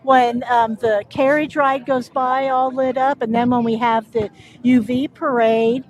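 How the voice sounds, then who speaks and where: A woman's voice: fairly high pitch, steady tone, unhurried, darkish tone, slight background noise, low-quality recording. A woman being interviewed outdoors at a busy street festival, cheerful and chatty. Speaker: guest, committee chairperson. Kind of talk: live interview.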